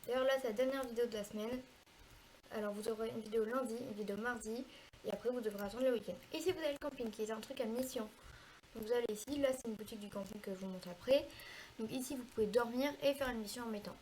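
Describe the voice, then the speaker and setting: Congested high-voiced woman with a cold. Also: darkish tone, slight background noise, low-quality recording. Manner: chatty, hesitant, frequent filler pauses. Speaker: gaming video creator. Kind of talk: casual gameplay tutorial commentary.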